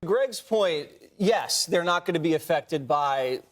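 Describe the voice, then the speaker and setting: Lively-voiced male TV commentator. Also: a little high, slow. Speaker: TV panel commentator. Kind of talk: cable news panel talk.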